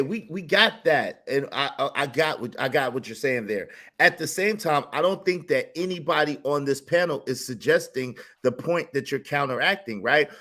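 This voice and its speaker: Male panel host, high voice, insistent and methodical in debate. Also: fairly bright tone. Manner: measured, argumentative, stammers restarts.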